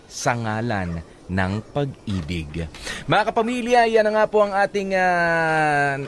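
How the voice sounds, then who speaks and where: A man's voice: on the high side, very animated, slow pace, a bit bright, soft background music. A man hosting a radio show as a DJ, bantering in an informal, casual way. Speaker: radio DJ and host. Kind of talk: casual radio host chat.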